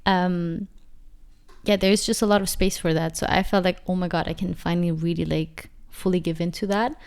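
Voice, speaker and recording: A woman's voice: lowish voice, fairly even intonation, moderate pace, darkish tone, smooth. A woman in her twenties talking casually in an interview, reflective and full of fillers as she searches for words. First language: not English. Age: 20s. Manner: reflective, many fillers and restarts. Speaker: dancer and guest.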